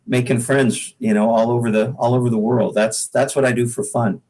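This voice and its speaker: An American man with a medium-pitched voice, talking casually as a podcast guest. He speaks in a halting way, doubling back on his words. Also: little pitch movement, darkish tone. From US Pacific Northwest. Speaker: podcast guest, singer-songwriter. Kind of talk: casual interview chat.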